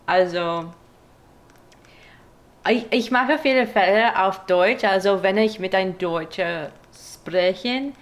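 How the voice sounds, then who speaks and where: A woman with a foreign accent chatting casually in a language that is not her own, pausing to search for words. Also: mid-range voice. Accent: non-native, foreign-accented German. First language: not German; speaks it as a foreign language. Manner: halting, pauses to search for words. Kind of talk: casual language-practice chat.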